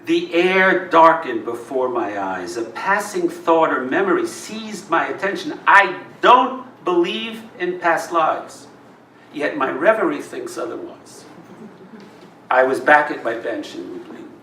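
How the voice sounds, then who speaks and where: A roomy, slightly noisy live recording, picked up from a distance. A man reads poetry with dramatic, deliberate phrasing. His voice is bright and forceful, moving slowly through wide swings of pitch. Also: lively intonation. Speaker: poet reading own work. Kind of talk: expressive live poetry reading. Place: live reading in a roomy indoor venue.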